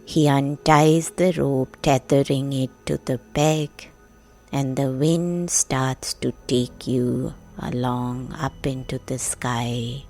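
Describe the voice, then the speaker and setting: A woman narrating a guided sleep meditation in a gentle, hushed, soothing manner with long pauses. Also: extremely low pitch, fairly even intonation, faint music behind. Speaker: meditation guide, podcast host.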